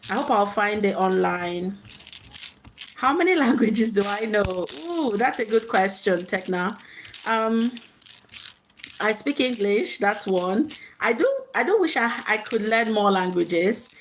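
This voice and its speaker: Woman chatting casually, noisy recording. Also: medium pitch. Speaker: live stream host. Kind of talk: casual chat with live viewers.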